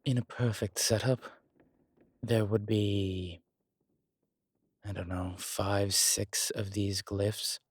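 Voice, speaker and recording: A man performs a world-weary male demon in an intimate horror roleplay. He talks very slowly in a lowish voice, picking through his thoughts with hesitant, dry wit. The sound is close and dry, as if speaking to one companion. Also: flat delivery, breathy edge, dry recording. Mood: pensive, wary. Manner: hesitant, measured, musing delivery with dry humour. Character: wry, world-weary male demon investigating a haunted house.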